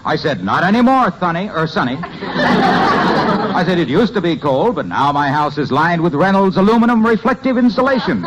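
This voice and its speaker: An actor playing a jovial, chuckling pitchman pal, hearty and salesmanlike, in an old-time radio comedy. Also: big pitch swings, moderate pace, crowd noise. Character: jovial, self-amused male radio pitchman friend. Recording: noisy old radio recording, audience behind.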